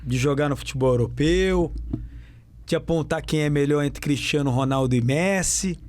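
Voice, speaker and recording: A man's voice: fairly high pitch, normal speed, a little mellow. A man interviewing a young footballer, asking emphatic questions and repeating them for effect. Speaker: sports radio interviewer.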